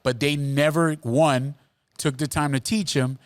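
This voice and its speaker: A man, hosting a relaxed podcast conversation. His voice sits a little high, and he talks earnestly, restarting and repeating words as he works out his point. The sound is close and dry. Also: measured pace, dry recording. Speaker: podcast host. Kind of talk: casual podcast chat. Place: Miami.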